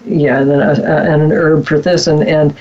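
A man's voice: fairly high pitch, level tone, fairly quick. A man hosting an interview, supportive and affirming as he reflects on his guest's story. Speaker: host and practitioner. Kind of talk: interview conversation. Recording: dull lo-fi recording.

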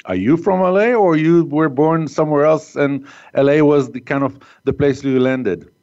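A man hosting a business interview podcast, speaking as an in a halting way, with many fillers. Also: fairly high pitch, darkish tone. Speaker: podcast host. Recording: muffled lo-fi audio.

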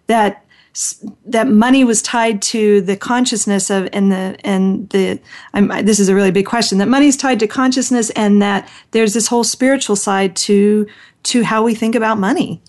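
A woman hosting a casual interview show, chatty and full of restarts and fillers. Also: on the high side. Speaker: podcast host.

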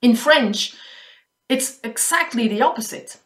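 Flemish woman guest, highish voice, reverberant room, thinks aloud with small restarts. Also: lively intonation, a little slow, fairly bright tone. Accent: Flemish Dutch. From Belgium. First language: Dutch. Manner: thoughtful, repeats words while searching for phrasing. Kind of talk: conversational interview.

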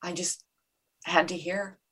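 A woman with a lowish voice whose first language is Czech, talking through personal memories in an interview. She sounds earnest and reflective, and she readily agrees with the host. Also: steady tone, slow pace, a bit bright, slightly rough. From Czech Republic. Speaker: guest. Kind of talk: conversational interview.

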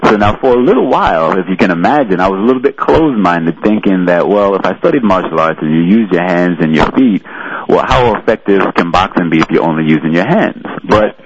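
A man in his thirties from New York City, a fitness trainer interviewed on a radio show, telling his story in a relaxed way with many fillers. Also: sits low, fairly quick, dull audio, background noise. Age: 30s.

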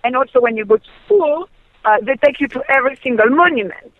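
A woman's voice: high voice, animated, quick pace, dry recording. A French-accented woman talks over a muffled, dry phone line, her voice a little dull and close. She chats easily as a guest and slips into acting out what she and others said. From France. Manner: chatty, acts out her own quoted talk. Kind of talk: casual phone interview.

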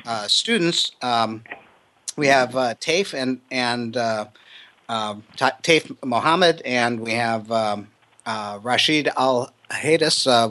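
A man hosting a radio talk show, speaking haltingly with many ums and uhs. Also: medium pitch, pushed and bright.

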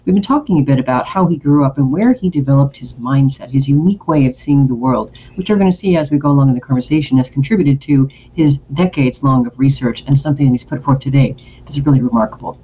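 A woman hosting a talk radio show, moving quickly through plugs and segues to her guest. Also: rumbling low, warm tone, highs cut off, a little hiss or hum. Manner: quick, promotional, smooth segues.

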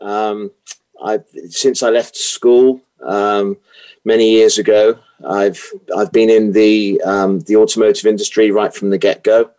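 A middle-aged British man talks through his answers with a fairly low voice. He hesitates often, filling gaps with ums and repeating words as he builds his sentences. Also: level tone, unhurried, darkish tone, low-quality recording. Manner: hesitant, many fillers and restarts. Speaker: guest, automotive consultant. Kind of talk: interview answers.

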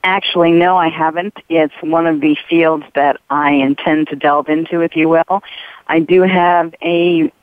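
A woman in her sixties from the East Coast of the United States, a naturopath and retired nurse calling in to an interview and telling her career in a steady, orderly way. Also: low voice, a little slow, dry recording. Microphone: telephone. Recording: tinny phone line.

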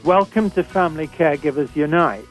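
An older man with a British accent hosting a radio talk show, reading guest introductions in a measured, formal way. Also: a little high, soft background music. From Britain. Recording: thin phone-line sound.

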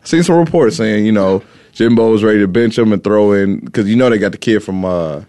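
A man's voice: fairly low pitch, fairly quick, dark tone, no room sound. A man co-hosting a casual sports talk show, talkative and easygoing, laughing often as he makes his case.

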